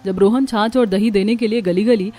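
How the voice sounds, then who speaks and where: A woman's voice: medium pitch, fairly even intonation, normal speed, dark tone. A woman reading a scripted story as narrator. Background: music.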